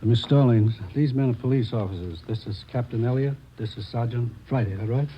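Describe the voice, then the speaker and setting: An actor playing a calm, protective doctor in an old-time radio crime drama, with a brisk professional manner. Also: male voice, mid-range voice, lightly acted. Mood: brisk, concerned. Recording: muffled old radio recording, faint hiss.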